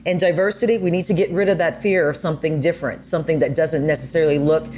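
A woman's voice: low voice, steady tone, fast, warm tone, faint music behind. A woman guest on a podcast reflecting conversationally in an earnest, encouraging way.

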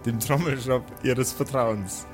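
A man's voice: mid-range voice, fairly even intonation, a little slow. Male tabletop game master, mock-formal storyteller phrasing, improvised delivery over a music bed.

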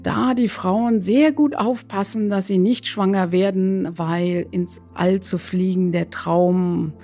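A woman speaking as an expert flight physician in an interview, explaining haltingly and repeating words for emphasis. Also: medium pitch, steady tone, dark tone, faint music behind.

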